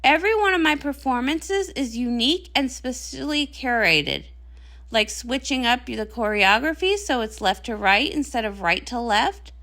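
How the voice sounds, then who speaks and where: Woman acting as jealous pony pop princess, high-pitched, very animated, sarcastic, dramatic, comic read-along. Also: exaggerated voice. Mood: haughty. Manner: indignant, sarcastic.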